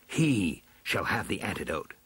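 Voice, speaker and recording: A man voicing an aged, stern and suspicious snow dwarf chief elder in a storybook tale, declaiming slowly and imperiously. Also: sits low, big pitch swings, a bit bright, slightly rough, dry recording, exaggerated voice. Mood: solemn decree. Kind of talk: storybook character acting.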